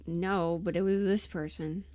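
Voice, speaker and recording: A woman's voice: fairly low pitch, fairly even intonation, measured pace, dark tone, no echo. A woman chatting casually on a livestream, scattered and giggly, thinking aloud as she goes, close to the mic. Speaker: livestream host and audio performer.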